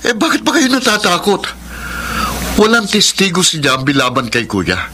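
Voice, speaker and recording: An actor playing an aggrieved, lovelorn younger brother in a Filipino radio drama. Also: male voice, high-pitched, huge pitch swings, moderate pace, pushed and bright, a little hiss or hum, heavily acted. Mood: indignant, defiant. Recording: dramatic music bed behind voice.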